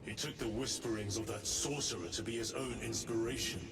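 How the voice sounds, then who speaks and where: An actor plays a solemn veteran space marine who speaks in a measured way. His voice is mid-pitched and steady. Music plays beneath. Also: close to an everyday voice.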